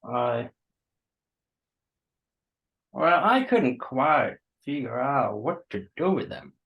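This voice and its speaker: A man acting an awkward, apologetic host to a stranger in a staged play reading, halting and understated, on a dull recording. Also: mid-range voice, sing-song, creaky, exaggerated voice. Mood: sheepish.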